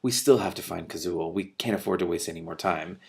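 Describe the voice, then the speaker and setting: A man's voice: deep voice, brisk, gravelly. A man reading the part of an earnest, dutiful young king in a storybook, polite and plain-spoken. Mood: earnest, reassuring. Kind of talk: expressive audiobook-style character reading.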